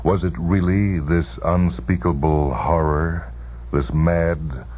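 A man giving a dramatic scripted narration in 1970s radio style, grave and intense, with deliberate phrasing. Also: sits low, fairly even intonation. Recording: tinny, faintly noisy old radio recording.

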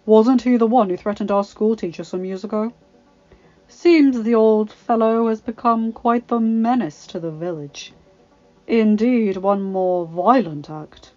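Woman doing a slow, rough, menacing young brute. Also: medium pitch, no room sound, dull audio, faint music behind, natural voice. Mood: smug menace. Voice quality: rough, rasping. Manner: slow, drawn-out, taunting. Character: menacing, huge twenty-year-old man, smug village bully.

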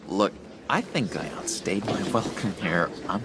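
An actor playing an eager, unhinged young schemer in a video game, halting and wheedling with sinister warmth. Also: male voice, on the low side, lively intonation, heavy background noise. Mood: coaxing, fond. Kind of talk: video game character acting.

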